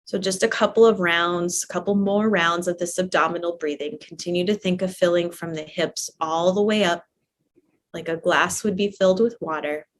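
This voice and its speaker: A woman teaching a guided relaxation class in a gentle, permissive, conversational way, often repeating her phrases softly. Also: on the low side, steady tone, moderate pace, slight background noise. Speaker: yoga nidra teacher guiding a live class.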